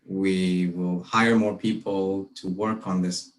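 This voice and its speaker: Earnest young man, deep, roomy and distant. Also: slow pace. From Malaysia and Brunei. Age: young adult. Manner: earnest, reflective, steady. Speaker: podcast guest. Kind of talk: casual interview.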